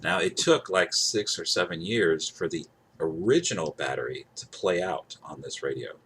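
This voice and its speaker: A man with a low voice talks casually to camera as he demonstrates a device. He rambles, circling back to the same points with emphasis. Some noise sits behind his voice. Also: very animated, moderate pace, fairly bright tone. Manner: repeats himself, rambling, emphatic. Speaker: gadget reviewer. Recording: clear background noise.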